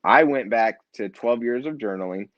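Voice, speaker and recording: A man's voice: medium pitch, moderate pace, no echo, dull audio. A man being interviewed about his nonprofit and his book, talking in an earnest, reflective way with frequent restarts. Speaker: nonprofit founder and author, guest. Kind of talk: conversational interview.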